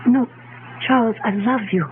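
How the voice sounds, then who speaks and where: A woman's voice: on the high side, moderate pace, lightly acted. Through a tinny, noisy old radio broadcast comes a woman acting a determined fiancée in a 1970s mystery drama. She is coolly scheming and controlled, pressing her case with insistent persuasion. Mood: urgent, insistent.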